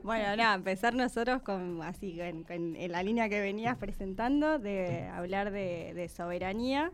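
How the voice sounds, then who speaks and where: A woman's voice: mid-range voice, moderate pace. A woman speaking hesitantly on a radio talk show, with many fillers. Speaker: radio co-host. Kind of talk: casual radio chat.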